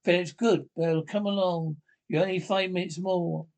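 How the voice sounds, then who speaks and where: A man reading a radio drama script aloud, halting and stumbling over words, repeating some and reading out the speaker names. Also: sits high, steady tone, darkish tone. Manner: reads speaker names aloud along with the lines. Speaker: amateur script reader.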